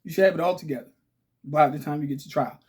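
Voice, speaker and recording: A man hosting his own channel in a casual, chatty way, with a raspy voice, often checking in with "okay?" and "right?". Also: fairly high pitch, fast talker, a little mellow. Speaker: channel host. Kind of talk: casual direct-to-camera talk.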